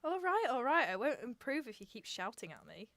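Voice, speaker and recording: A woman playing a flirtatious, sharp-tongued circus performer in an amateur radio drama, catty and insolent. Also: a little high, sing-song, a little fast, dry recording, clean studio sound. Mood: scornful, angry.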